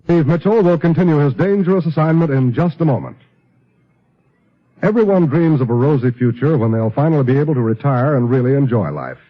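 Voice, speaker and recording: A man's voice: animated, a little fast, soft background music. An American man announcing in an earnest, scripted 1950s radio style. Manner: earnest salesman's pitch. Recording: muffled old radio recording.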